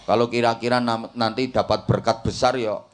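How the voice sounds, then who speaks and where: A man's voice: medium pitch, flat delivery, moderate pace. A middle-aged man with a Javanese accent, preaching a comic sermon to a village congregation and teasing the crowd. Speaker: Islamic preacher.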